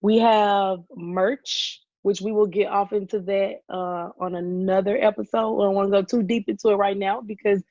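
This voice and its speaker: A woman co-hosting a casual podcast over a video call, hoarse from losing her voice and talking loudly to be heard, with frequent ums. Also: mid-range voice, fairly even intonation, normal speed, few pauses, no room sound. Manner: talks loudly to push past a weak voice, with frequent ums. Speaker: podcast co-host. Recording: dull lo-fi video call audio.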